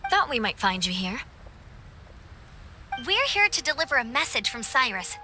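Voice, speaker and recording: Cheerful young female messenger, high voice, piercingly bright, energetic, friendly, game cutscene. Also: moderate pace, faint music behind, heavily acted. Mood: upbeat. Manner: upbeat, lively. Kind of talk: video game cutscene acting.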